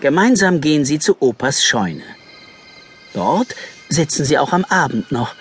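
A man's voice: on the high side, very animated, unhurried. A man narrating a children's audio story in a suspenseful, dramatic manner. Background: music.

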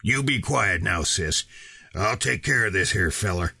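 A man's voice: lowish voice, expressive, unhurried, pushed and bright, no echo, studio quality, heavily acted. A man voicing a growling, hot-tempered rancher. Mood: commanding. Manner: growling, cursing, rough rural speech. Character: gruff burly middle-aged male rancher, hot-tempered.

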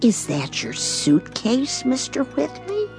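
An actress playing a polite, mild elderly woman in a radio drama, speaking with deliberate care. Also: high-pitched, huge pitch swings, music in the background, full character voice. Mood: polite curiosity.

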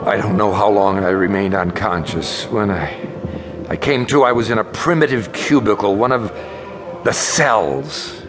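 A man's voice: on the low side, unhurried, lightly acted. A man playing an exhausted traveling scholar in a radio drama, intense and dramatic. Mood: grave recollection. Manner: urgent pleading, hushed when narrating. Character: desperate, exhausted male traveler and scholar, recalling his ordeal.

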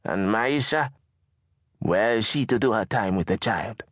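A man voicing a fierce, toothless mother in a slum shack, scolding in a high, sharp voice. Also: animated, a little slow, no room sound, clean studio sound.

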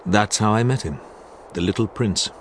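A man's voice: on the low side, normal speed, slight background noise, close to an everyday voice. A man playing a reflective pilot who narrates his memories in a radio drama, confiding as a storyteller. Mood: wistful.

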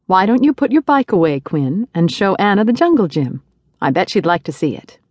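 A man voicing a gentle but firm young mother of five who scolds softly. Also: sits high, sing-song, a little fast, dry recording, pitched above the performer's own voice. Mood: friendly.

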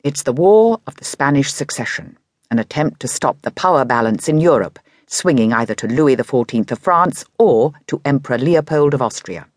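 A woman's voice: very deep voice, expressive, moderate pace, a little mellow, no room sound, studio quality. A woman with an English accent narrating a scripted history documentary in an explanatory way with lightly conversational asides.

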